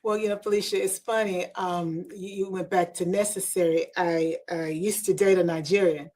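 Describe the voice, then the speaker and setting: A woman's voice: fairly low pitch, fairly even intonation, moderate pace, a little mellow. A woman hosting a podcast, chatty and storytelling, with playful asides. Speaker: podcast host. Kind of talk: casual podcast chat. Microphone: remote video call.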